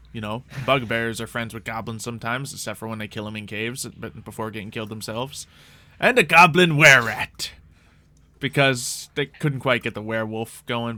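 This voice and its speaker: Man, game master, casual explanations, bright forceful voice, medium pitch. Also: no echo.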